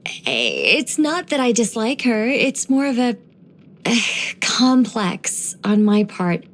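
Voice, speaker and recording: A woman voicing a gentle, wise young angelic woman in game voice lines, soft and wryly musing. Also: medium pitch. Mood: bashful.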